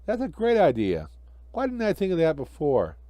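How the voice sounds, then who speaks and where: Man putting on a cheerful, animated talking snowman. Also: on the high side, normal speed, no room sound, voice pushed up. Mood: eager, urgent. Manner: exclamatory, cheerfully joking. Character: jolly, eager, good-natured male snowman.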